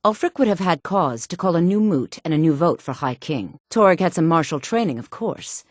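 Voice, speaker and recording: A self-assured, sultry woman from a fantasy video game. Her voice sits low and is recorded very dry. She speaks with measured poise and a haughty edge, like someone used to being obeyed. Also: lively intonation, no room sound. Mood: grave, regretful. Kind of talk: video game character dialogue.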